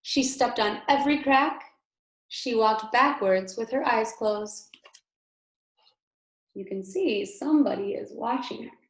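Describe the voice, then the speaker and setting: A woman's voice: fairly high pitch, slow, pauses often. A woman kindergarten teacher reading a storybook aloud expressively to young children, with emphasis and explanatory asides. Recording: echoey room.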